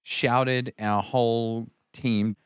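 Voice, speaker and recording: A man co-hosting a podcast, musing wryly in relaxed, casual conversation. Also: medium pitch, very slow, smooth, dry recording, clean studio sound. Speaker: podcast co-host. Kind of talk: casual chat.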